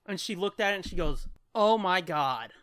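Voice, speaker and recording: A man's voice: high-pitched, lively intonation, moderate pace, a bit bright, dry recording. An American man co-hosting a podcast, telling stories casually in an irreverent, profane, joking way. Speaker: podcast co-host. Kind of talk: casual chat, storytelling.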